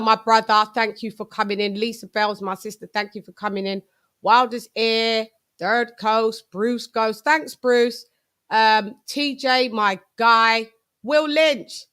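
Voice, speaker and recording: British female boxing stream host; bright, forceful voice, slangy casual chat, effusive delivery. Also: mid-range voice. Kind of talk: casual live-stream chat with shout-outs.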